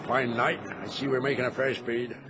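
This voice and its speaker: A man plays a seasoned ship's captain in a radio play, with a mid-pitched voice. He is courteous and deliberate, with an air of command. The sound is dull and slightly hissy. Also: flat delivery, natural voice. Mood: brisk, commanding. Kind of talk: old-time radio drama acting. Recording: muffled lo-fi radio recording with hiss.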